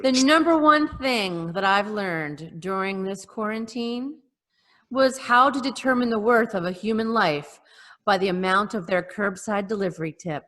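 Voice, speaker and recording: A woman co-hosting a podcast over a video call, reading out comic lists and chatting casually with a deadpan joking manner. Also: medium pitch, normal speed. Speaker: podcast co-host. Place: remote group video call. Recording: roomy video call with long reverb.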